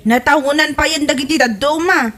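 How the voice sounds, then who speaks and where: A woman's voice: sits high, fairly even intonation, a little fast, pushed and bright. A woman playing an exasperated grown daughter in a comedy audio drama, speaking with an Ilocano accent. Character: exasperated grown daughter nagging her stingy mother.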